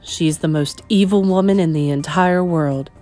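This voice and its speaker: A woman's voice: fairly low pitch, normal speed, a little mellow, faint music behind, close to an everyday voice. A woman voicing an elderly grandmother who is loving and fiercely protective. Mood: grave.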